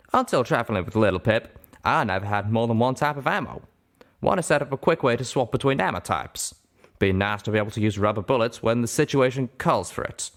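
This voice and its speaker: Man playing a cowboy pegasus, folksy drawl, proud, stubborn, raised pitch, storybook character. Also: creaky, fairly bright tone.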